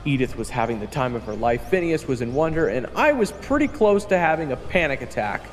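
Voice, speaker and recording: A man's voice: mid-range voice, big pitch swings, brisk, in a large hall, close to an everyday voice. A man playing a cheeky, anxious young hero who narrates a fantasy audio drama with casual, wry asides. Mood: excited.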